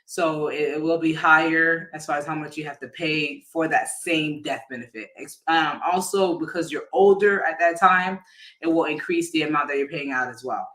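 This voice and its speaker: Bright, higher-pitched man explaining casually. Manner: casual, halting, often rephrasing mid-sentence. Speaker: insurance agent giving a financial education talk. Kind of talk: informal explanatory live talk.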